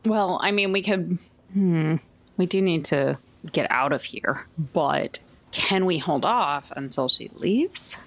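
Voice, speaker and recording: A woman's voice: lowish voice, takes it slowly. A woman playing a tabletop role-playing game, talking casually with the group.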